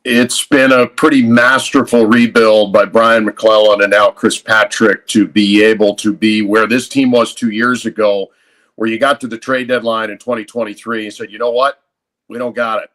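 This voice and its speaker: A man speaking as a guest sports announcer on a podcast. His delivery is conversational yet measured and articulate. He lays out his analysis fluently, like an experienced broadcaster. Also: fairly low pitch, level tone, a bit bright, low-quality recording.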